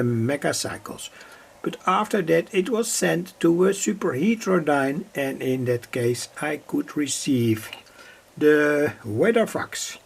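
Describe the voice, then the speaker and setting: A man presents a hands-on technical demonstration without a script. His voice sits a little high and is gravelly and husky. He explains step by step in a halting manner, pausing often and repeating phrases. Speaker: presenter of hobby radio electronics demos. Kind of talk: unscripted technical demonstration talk.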